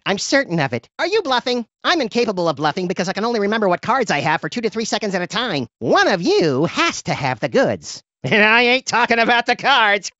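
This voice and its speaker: A manic, childish cartoon rabbit creature from a video game. The voice sits high and leaps wildly from deadpan muttering to shrill theatrical glee, cheerfully violent and flippant. The sound is close and dry, slightly dull. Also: male voice, dry recording, full character voice. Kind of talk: animated video game character voice lines.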